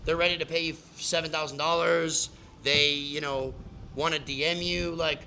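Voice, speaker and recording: Bright-voiced man coaching, casual and emphatic. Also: a little high, level tone, moderate pace, a little hiss or hum, poor audio. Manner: casual, filler-heavy, emphatic explaining. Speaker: business coach. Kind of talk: live coaching session.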